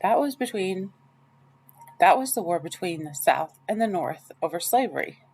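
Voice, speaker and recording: A woman's voice: lowish voice, animated, normal speed, darkish tone, breathy edge, poor audio. A woman voicing an earnest, curious young girl from a storybook, polite and plain-spoken. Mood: surprised, defensive.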